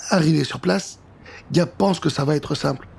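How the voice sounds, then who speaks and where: A man reads a children's tale as its narrator. His voice sits fairly high for a man and has a husky edge, with dramatic, suspenseful storytelling. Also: normal speed. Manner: expressive, suspenseful.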